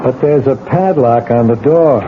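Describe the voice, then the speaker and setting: An actor playing a patient, skeptical police lieutenant who coaxes a witness calmly in a 1970s radio drama. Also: male voice, mid-range voice, a little slow, lightly acted. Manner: calm, coaxing, matter-of-fact. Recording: tinny old radio broadcast with hiss.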